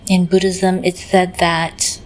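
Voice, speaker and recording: A woman leading a guided meditation in a gentle, unhurried manner, often pausing in the middle of phrases. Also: on the low side, level tone, rough recording. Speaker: meditation teacher.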